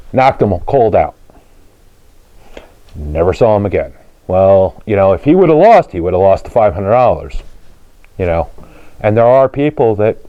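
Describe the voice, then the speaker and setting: A man with a fairly low voice hosts a casual vlog. He explains and tells stories in plain, colloquial language. The sound is dry and somewhat dull, with little room around it. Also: no room sound. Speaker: host of a car channel, drag racer. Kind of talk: casual storytelling and explaining to viewers.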